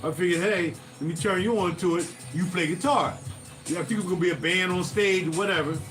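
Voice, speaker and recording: A fairly high-voiced man, chatting loosely to his livestream audience and wandering between stories. He sits some way back from the mic, and music plays faintly in the background. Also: fast talker, a bit bright. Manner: digressive, loses his thread, talks to viewers directly. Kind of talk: casual rambling livestream storytelling. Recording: distant mic with music playing behind.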